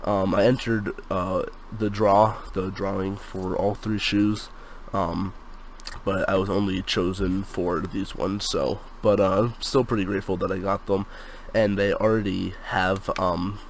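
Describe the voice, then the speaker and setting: A man's voice: low voice, level tone, measured pace, slight background noise. A young man with a cold and a congested voice, casually chatting through a sneaker unboxing, filling his talk with um and like. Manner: rambling, lots of fillers like um and like.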